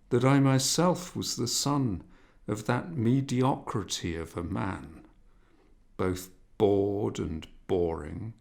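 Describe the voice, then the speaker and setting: A man narrating a literary novel as an audiobook, in a measured, expressive, dramatic reading manner. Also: fairly low pitch, vocal fry.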